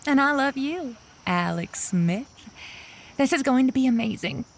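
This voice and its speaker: A woman's voice: high voice, expressive, unhurried, vocal fry, heavily acted. A woman playing a wisecracking, sentimental radio host in an audio drama, with teasing, slightly hesitant banter. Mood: earnest.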